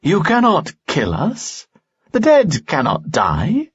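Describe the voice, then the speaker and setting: A man's voice: a little high, wildly swooping pitch, slow, slightly rough, no room sound, pitched above the performer's own voice, heavily acted. A man voicing a cold, commanding undead spirit man who speaks in a stern, menacing way. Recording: dull lo-fi recording.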